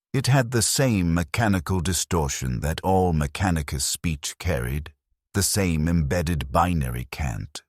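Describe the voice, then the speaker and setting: Very deep, slow male story narrator. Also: no echo, studio quality. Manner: grave, solemn. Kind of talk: cinematic first-person scripted narration.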